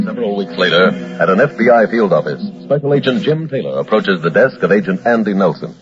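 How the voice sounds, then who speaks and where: An actor playing an authoritative narrator of a 1940s radio crime show, reading scripted narration. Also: male voice, fairly low pitch, very animated, quick pace, loud music behind the voice. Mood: urgent, authoritative. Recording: dull old-time radio recording.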